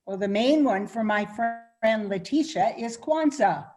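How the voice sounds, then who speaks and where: A woman reading the part of a helpful, informative friend in an amateur radio play, explaining things deliberately. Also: on the high side, lively intonation, a little mellow. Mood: explanatory.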